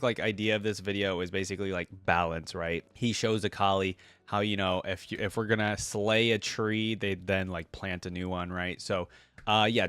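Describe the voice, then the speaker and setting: A man's voice: lowish voice, a bit bright, clear, resonant tone, no echo. A man hosting a reaction video, talking casually and informally as he thinks aloud.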